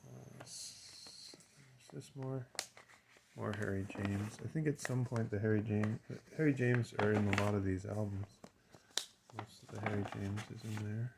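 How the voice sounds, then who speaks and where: Slow, rambling man with a lowish voice. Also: steady tone, creaky, noisy background. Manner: hesitant, rambling, trailing off mid-thought. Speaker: record collector and seller showing items. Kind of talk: casual unscripted show-and-tell.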